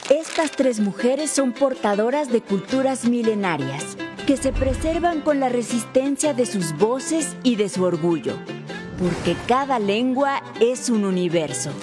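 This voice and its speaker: A woman reading a scripted documentary voice-over in a measured, formal way. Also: fairly high pitch, animated. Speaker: documentary narrator. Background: music.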